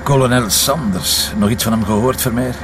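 An actor playing a wry, brisk Flemish police commissioner in a naturalistic radio drama. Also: male voice, mid-range voice, noisy background, natural voice. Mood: relaxed, wry. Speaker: lead actor.

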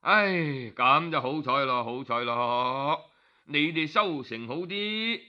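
A man's voice: a little high, lively intonation, takes it slowly. A man voicing a petty, sarcastic king with a Cantonese accent, drawling mock concern in an oral historical story. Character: petty, sarcastic king mocking his vassal. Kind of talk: storytelling character voice. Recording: dull, lo-fi audio.

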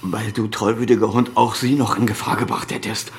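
A man's voice: fairly low pitch, level tone, fairly quick, slight background noise, lightly acted. An actor playing a proud, evasive surgeon and father of a grown son, speaking in an authoritative, articulate way in a German radio crime drama. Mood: scornful, tense.

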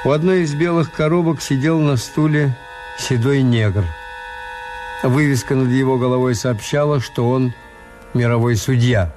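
Male actor as detached first-person narrator for radio drama: measured, understated, medium pitch, clear music bed behind. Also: natural voice. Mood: wry, detached. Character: dry, detached hotel owner narrating his own story.

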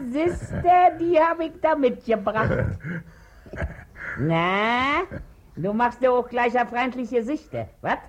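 A woman's voice: a little high, exaggerated voice. An actress in a 1950s German radio play voicing a crafty, domineering middle-aged washerwoman with a Berlin accent, bossy and wheedling in colloquial speech. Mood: coaxing. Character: crafty, domineering working-class washerwoman, wife and mother.

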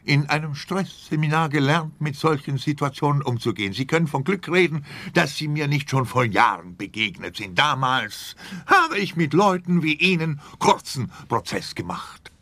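An actor playing an irate, menacing man in a radio play who barely keeps his temper, speaking in a forceful, clipped way. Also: a little high, lively intonation, moderate pace, hardly any pauses, no room sound, exaggerated voice. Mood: angry, threatening.

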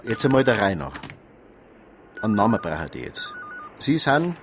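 Bavarian police dispatcher, low pitch, varied intonation, brisk, insistent, radio drama. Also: male voice, big pitch swings. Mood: brisk, questioning. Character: businesslike male Bavarian police dispatcher on duty. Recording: noisy station with phone clicks.

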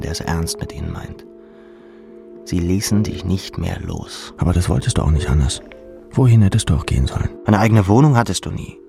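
Male audiobook narrator, gravelly and deep, scripted literary drama with music underneath.

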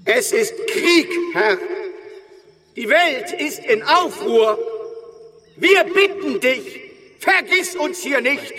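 An actor playing a fervent reverend in a radio drama, declaiming a parable in a solemn, drawn-out sermon manner. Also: male voice, very high voice, sharp tone, heavily acted. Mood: fervent pleading. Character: fervent reverend preaching a strange animal parable. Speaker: preacher. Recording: long hall reverb on the voice.

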